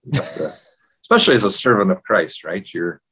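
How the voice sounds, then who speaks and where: A middle-aged or older man co-hosting a podcast, talking casually in a measured, reflective way. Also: deep voice, expressive, sharp tone, slightly rough. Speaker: podcast co-host. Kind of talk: casual conversational podcast talk, personal storytelling. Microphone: video call.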